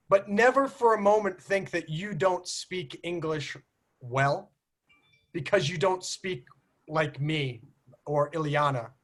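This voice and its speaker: An American man hosting a casual group video call, talking in an animated, teasing way and often mimicking accents. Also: a little high. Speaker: host.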